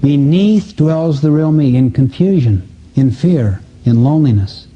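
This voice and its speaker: A man recites as an insecure soul confessing hidden fears in a dramatic poem. The voice is very mellow and husky, earnest and measured. The sound is dull and lo-fi. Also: fairly high pitch, lively intonation. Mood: pleading, vulnerable. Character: insecure, frightened soul confessing behind a mask. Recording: dull, lo-fi audio recording.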